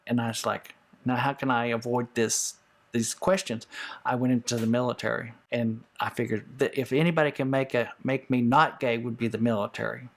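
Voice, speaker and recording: A former Marine in a personal interview, talking frankly and in a rambling way, with a gravelly voice. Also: male voice, mid-range voice, fairly even intonation, fairly quick.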